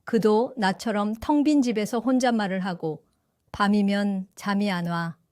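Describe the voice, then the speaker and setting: An older woman narrating her own story in an audio drama, calm and reflective. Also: medium pitch, a little slow, dry recording, clean studio sound. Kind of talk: scripted first-person audio drama narration.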